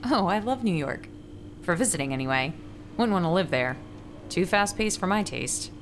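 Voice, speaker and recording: A woman's voice: lowish voice, animated, normal speed, a little hiss or hum, lightly acted. A woman playing a friendly, ordinary runner and ad writer, narrating her story in a scripted fiction podcast. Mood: friendly, upbeat. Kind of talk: first-person scripted audio drama narration with dialogue.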